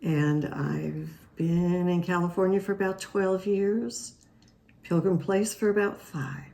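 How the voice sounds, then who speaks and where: Older lady, 70s, from utah, raspy n warm, takes her time. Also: fairly low pitch, steady tone. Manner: unhurried, with small laughs. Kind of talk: interview answers.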